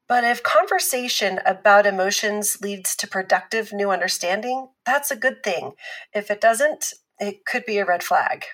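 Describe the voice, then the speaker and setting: Measured woman relationship expert. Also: mid-range voice, a bit bright. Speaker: expert guest on a talk show. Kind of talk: scripted interview in a fiction podcast.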